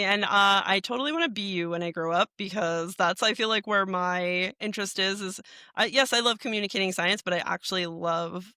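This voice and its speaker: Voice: mid-range voice, sharp tone, no room sound, clean studio sound. A podcast host asking follow-up questions with eager enthusiasm and many fillers. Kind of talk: podcast interview.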